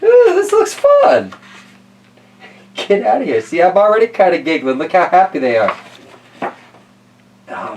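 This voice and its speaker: Playful man with lively, swooping intonation. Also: medium pitch. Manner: playful, rambling, folksy asides. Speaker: host reading picture books aloud. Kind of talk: casual, chatty read-aloud to camera. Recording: roomy distant mic with slight noise.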